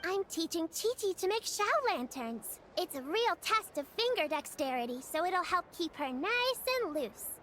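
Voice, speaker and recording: A woman's voice: very high-pitched, very animated, normal speed, few pauses, fairly bright tone, slight background noise, exaggerated voice. A woman voicing a cheerful, caring little girl in a video game, sweet and chirpy, explaining things patiently and reassuringly. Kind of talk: animated video game voice acting.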